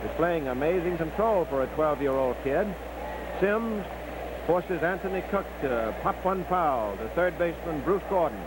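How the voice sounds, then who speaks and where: A man's voice: on the high side, expressive, normal speed, heavy background noise. A man narrating baseball play-by-play in 1950s newsreel style, on an old recording.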